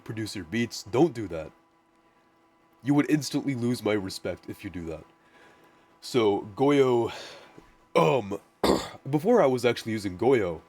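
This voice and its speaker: A man with a naturally deep voice, casually walking through his music production setup, rambling with lots of "like" and restarts. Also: a little mellow. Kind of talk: casual screen-share tutorial.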